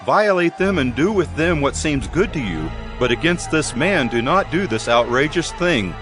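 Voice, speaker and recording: Old man, protective and earnest, lively swings in pitch, bible audio drama with music behind. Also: normal speed. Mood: pleading, desperate. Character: hospitable old man, pleading and protective householder. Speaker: actor in dramatized scripture. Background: background music.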